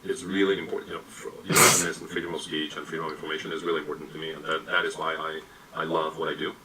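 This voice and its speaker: A man answers interview questions quickly in a deep yet bright voice. He sounds hesitant and reassuring and leans on fillers. He is picked up from a distance, with faint room ambience behind him. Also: level tone, a little hiss or hum. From Czechoslovakia. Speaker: tech executive interviewee.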